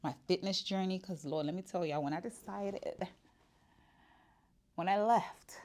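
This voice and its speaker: A woman's voice: lowish voice, measured pace, a little mellow. A woman, talking candidly and casually to the camera in her shop, with many fillers and restarts. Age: 40s. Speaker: vlogger. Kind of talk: casual personal vlog.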